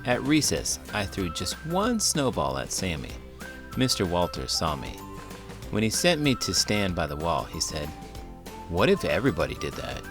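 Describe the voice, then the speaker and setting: A man's voice: low voice, wildly swooping pitch, moderate pace, over music. A man reading a children's book aloud as host, theatrical and full of big, silly emotion.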